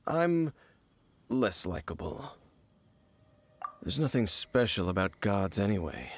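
An actor playing an aloof, world-weary wanderer in a video game, speaking in a grave, guarded, measured way. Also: male voice, lowish voice, very animated, slight background noise, heavily acted.

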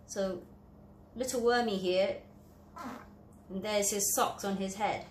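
A woman's voice: medium pitch, fairly even intonation, slow pace. A woman reading a children's picture book aloud, explaining it in simple phrases for young children. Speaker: children's storybook reader. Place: indoor room, at a table. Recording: roomy echo, light background noise.

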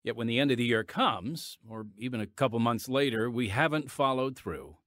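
A man's voice: medium pitch, lively intonation, normal speed, a bit bright, no echo, clean studio sound. A man hosting and narrating a drama podcast with a polished, scripted presenter delivery.

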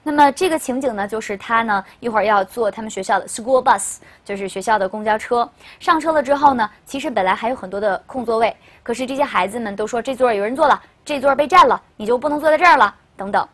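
High-voiced young woman teaching a lesson. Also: fast, few pauses. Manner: explanatory, addressing learners, emphasising key phrases. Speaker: English teacher. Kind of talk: scripted-style lesson presentation to camera. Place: indoor studio.

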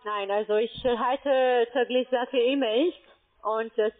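A woman's voice: fairly high pitch, steady tone, a little slow. A woman working as a call center agent, speaking German with broken grammar and pushing insistently. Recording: tinny phone line.

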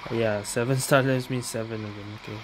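A woman's voice: very deep voice, fairly even intonation, brisk, noisy background. A woman giving casual gameplay commentary as a game streamer. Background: loud game music.

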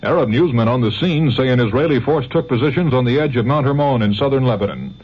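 A man's voice: medium pitch, expressive, fast, husky. An American man anchoring scripted network radio news. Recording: dull, hissy 1970s radio recording.

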